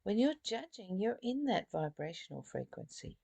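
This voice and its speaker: A woman giving an informal spiritual lesson, reading aloud deliberately and adding chatty explanations of her own. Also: mid-range voice, big pitch swings, vocal fry, warm tone, slightly rough, dry recording. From Australia. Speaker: spiritual study host reading and explaining a book.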